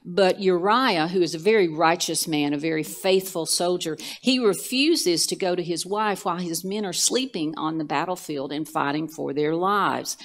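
An older woman co-hosting a church Bible study show, retelling scripture stories in a measured way with some fillers. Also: fairly low pitch. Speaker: co-host teaching scripture. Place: church studio set, indoors.

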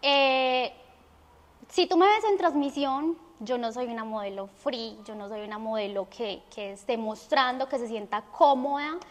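A woman's voice: fairly high pitch, unhurried. A young woman with a Colombian accent answering interview questions conversationally in a studio. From Armenia, Colombia. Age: young adult. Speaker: interview guest. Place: indoor studio set. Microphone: lapel mic. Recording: reverberant studio room.

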